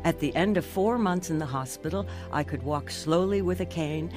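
A woman's voice: on the low side, moderate pace, natural voice. A determined woman tells her own story as played by an actress in a radio drama. Her first-person narration is scripted and reflective, with faint music underneath. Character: determined woman recounting her recovery from a stroke.

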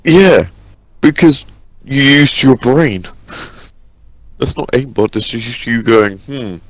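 A man's voice: medium pitch, animated, takes it slowly, no room sound, poor audio. A man chatting casually while gaming, teasing and swearing as he goes. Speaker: gamer in group voice chat. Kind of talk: casual joking game chat.

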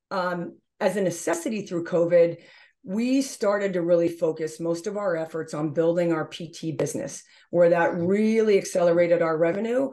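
A woman's voice: on the low side, a little mellow. A middle-aged woman giving explanatory answers as an interview guest on a video call. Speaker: interview guest, gym owner.

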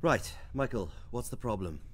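An actor playing a vain, quick-witted young male wizard in a radio drama, sardonic and theatrical. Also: mid-range voice, lively intonation, fairly bright tone, husky. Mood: brisk, teasing.

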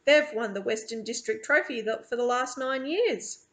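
A woman's voice: a little high, normal speed, close to an everyday voice. A woman voicing a jovial, boastful man from a storybook, hearty and joking. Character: jovial, boastful Australian man, friendly truck worker.

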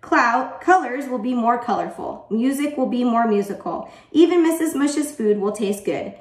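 A woman voicing a patient, encouraging schoolteacher in a storybook, with a reassuring, measured manner. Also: a little high, lively intonation, pitched above the performer's own voice, lightly acted. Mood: hopeful. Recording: echoey room, distant microphone.

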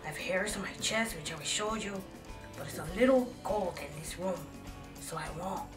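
A woman's voice: fairly low pitch, normal speed, music in the background, natural voice. A woman voicing a boastful, pompous, macho man in a comedy sketch. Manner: boastful, grandiose. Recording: echoey room, distant mic.

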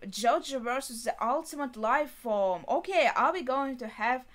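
A young adult woman giving casual, unscripted reaction commentary, thinking aloud with hesitant pauses mid-thought. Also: high voice, lively intonation, fairly bright tone. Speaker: reaction video host. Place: small furnished bedroom, indoors.

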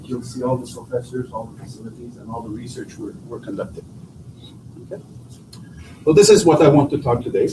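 A middle-aged man from Quebec with a French accent, giving a halting academic seminar talk full of restarts and fillers. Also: medium pitch, warm tone, slight background noise. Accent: Québécois French. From Quebec, Canada. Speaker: guest lecturer, professor. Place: lecture room. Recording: distant, roomy lecture hall audio.

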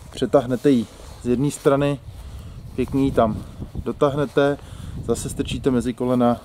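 A man's voice: mid-range voice, mellow, slight background noise. A man in his thirties hosting a casual how-to video, chatty and joking, recorded outdoors in a yard. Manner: chatty, joking, colloquial Czech. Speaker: outdoor gear channel host. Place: outdoors in a grassy yard by a wooden shed.

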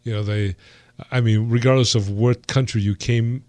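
A man hosting a radio talk show, mid-pitched and unhurried. He hesitates often and restarts his sentences. The studio sound is dry and close, with no room echo. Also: fairly even intonation, a little mellow. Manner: hesitant, filler-heavy, restarts. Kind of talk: radio interview.

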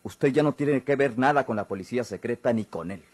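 A Mexican actor playing a stern, dismissive police chief in a golden-age radio drama, speaking formally and with curt authority. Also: male voice, mid-range voice, fairly quick, darkish tone, no room sound, lightly acted. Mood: firm, dismissive.

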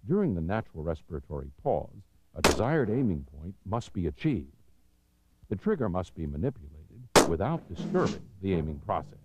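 An American man reading scripted instructional narration for a training film, measured, authoritative and clearly enunciated. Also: low voice, sing-song, heavy background noise. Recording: dull, lo-fi 1990s videotape soundtrack.

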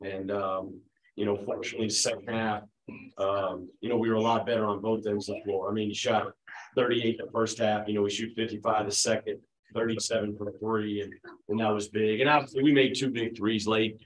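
Man in his 40s, low voice, basketball coach, postgame press conference, loose chatty answers, table mic. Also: brisk, low-quality recording. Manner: relaxed, conversational, many fillers. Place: press room at a team table, his children beside him. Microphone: table microphone.

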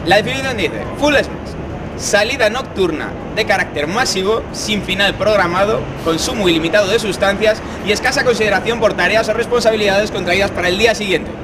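A man in his thirties doing comic street interviews outdoors, joking in a deadpan way. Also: high-pitched, fairly quick, noisy background. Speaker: street reporter.

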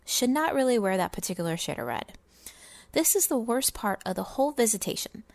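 A woman's voice: mid-range voice, no echo, lightly acted. A woman voicing a pompous, scornful miserly businessman in a dramatic storybook reading of fantasy game lore. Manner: theatrical, pompous, scornful delivery. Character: miserly, sneering, self-satisfied businessman narrating holiday memoir.

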